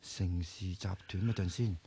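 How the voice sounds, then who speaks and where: A man's voice: low voice, steady tone, moderate pace, warm tone, slightly rough. A man acting an impatient, argumentative hospital patient in a Hong Kong Cantonese radio drama. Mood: exasperated. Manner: blunt, argumentative. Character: impatient, exasperated male hospital patient insisting on his identity.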